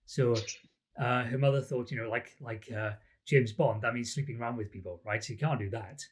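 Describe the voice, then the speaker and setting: A middle-aged man with a Welsh accent, chatting as an interview guest with relaxed, self-deprecating answers full of ums. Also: mid-range voice, brisk, breathy edge. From Wales. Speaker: academic guest, lie detection researcher.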